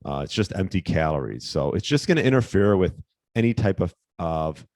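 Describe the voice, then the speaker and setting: A man with a very low, slightly hoarse voice, giving fitness tips on his podcast in a relaxed, rambling way. He pauses on fillers and restarts his sentences. The sound is dry and close to the mic. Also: no echo. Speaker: podcast host, fitness coach. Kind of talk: casual solo podcast tips.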